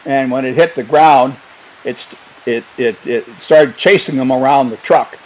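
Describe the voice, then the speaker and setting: An older man, a former wildlife biologist, telling stories in an unhurried, hesitant way with many fillers. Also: mid-range voice, poor audio. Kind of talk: casual storytelling.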